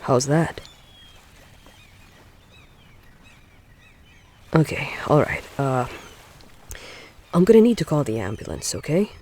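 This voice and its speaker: A man acting a caring young boyfriend in an audio roleplay, soothing his girlfriend in a gentle, often hushed and breathy way. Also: fairly high pitch, sing-song, moderate pace. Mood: anxious, soothing.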